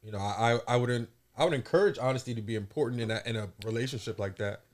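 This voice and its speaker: A man speaking as a guest in a casual conversation, in an earnest, reflective, measured way. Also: medium pitch. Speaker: podcast guest.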